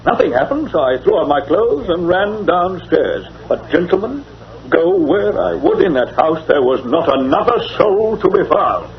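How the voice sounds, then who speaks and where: A muffled old radio recording of a proper English gentleman, easily astonished. His voice sits fairly high and rises and falls animatedly as he speaks in earnest, formal British tones. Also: sing-song, normal speed. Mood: agitated, amazed. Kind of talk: mid-century radio drama acting. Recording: dull vintage radio recording.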